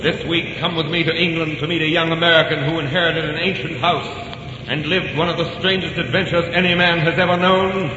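Un hombre que pone voz a la Aventura, un presentador declamatorio y majestuoso de un radioteatro de los años cuarenta, con mucho eco de sala y ruido. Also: male voice, a little high, fairly even intonation, brisk, dull audio, lightly acted. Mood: grand. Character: grand male voice of Adventure, commanding host. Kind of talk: 1940s radio announcing. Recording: echoey hall on old noisy radio.

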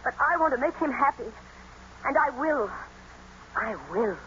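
A woman's voice: sits high, expressive, unhurried, fairly bright tone, slight background noise. Haughty young lady, voice swoops all over, heated old radio drama, muffled sound. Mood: defiant, impassioned. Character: defiant, impassioned young lady in love. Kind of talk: 1940s-50s radio drama acting.

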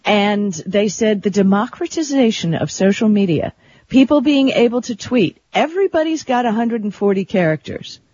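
Female talk radio co-host, husky, mid-pitched, casual discussion, slightly dull lo-fi sound. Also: lively intonation, moderate pace, a little mellow.

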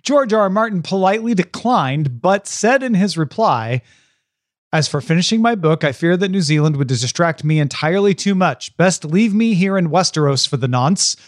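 A man's voice: sits high, animated, normal speed, no echo, clean studio sound. A man co-hosting a podcast in casual, playful, bantering chat. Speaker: podcast co-host.

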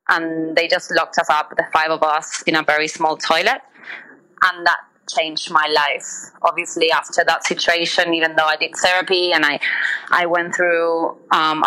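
A woman's voice: lowish voice, sharp tone, low-quality recording. A woman, telling her story candidly in a phone interview, with fillers and restarts. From Argentina. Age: 20s. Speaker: interviewee, migrant worker. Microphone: phone call.

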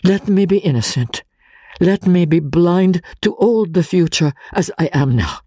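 A man voicing a little Italian man, gravely earnest, trembling and hesitant, in a dramatic audiobook reading. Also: sits high, lively intonation, measured pace, warm tone, no echo, clean studio sound, voice pushed up. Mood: agitated pleading.

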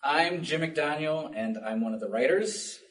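A man's voice: fairly high pitch, lively intonation, unhurried, fairly bright tone. Echoey, distant man on a panel. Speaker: writer and panelist. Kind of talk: casual panel talk. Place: public library event room. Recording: echoey room, distant mic.

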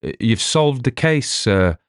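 A man's voice: medium pitch, animated, unhurried, no echo, voice pushed up, natural voice. A man voicing a laconic, unhelpful local police inspector who answers tersely. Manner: terse, dry.

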